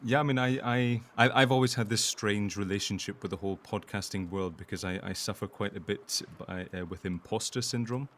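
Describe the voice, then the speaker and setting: Deep-voiced man in a casual interview. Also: a bit bright. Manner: self-effacing, halting starts. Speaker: voice actor.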